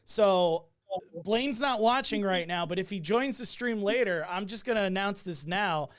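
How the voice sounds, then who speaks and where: A man's voice: sits high, normal speed. A man hosting a casual live-stream chat. He is chatty and often cuts in to clarify. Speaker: host.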